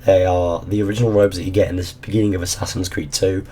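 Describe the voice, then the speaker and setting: A low, mellow male voice, worn hoarse as he loses his voice. He rambles casually and drops the odd swear word. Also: level tone, fast talker. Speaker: gaming YouTuber. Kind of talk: casual ranking commentary.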